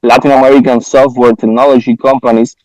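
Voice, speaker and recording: Man, mid-pitched, flat intonation, conference moderator, reading out audience questions, dry close sound. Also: fast talker, no echo, low-quality recording.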